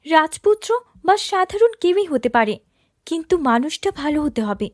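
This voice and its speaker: A woman's voice: high voice, big pitch swings, normal speed, no echo, clean studio sound. A woman storyteller voicing a strong-willed young princess in a declarative storybook delivery. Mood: insistent.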